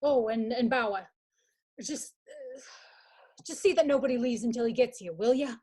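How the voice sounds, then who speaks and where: An anxious young gentleman played by a woman in a radio mystery. The voice sits fairly high and comes in halting, urgent phrases, as a well-mannered suitor caught up in a crime. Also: husky. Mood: shaken, urgent. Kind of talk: radio mystery drama acting.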